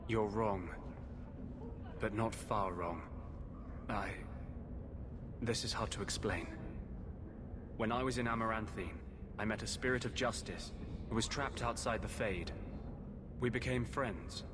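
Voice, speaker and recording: An actor playing an anguished, idealistic rebel mage healer in a video game, speaking with grave intensity. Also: male voice, on the low side, a little slow, long pauses, background noise, lightly acted. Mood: grave confession. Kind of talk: dramatic video game voice acting. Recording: loud music behind the voice.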